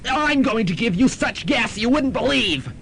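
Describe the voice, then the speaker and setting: A man's voice: very high-pitched, lively intonation, normal speed, vocal fry, exaggerated voice. A man playing a campy, wisecracking old dame, arch and chatty, in a comic radio drama. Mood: wry, wheedling. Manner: arch, chatty, says honey a lot. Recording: slightly noisy student radio recording.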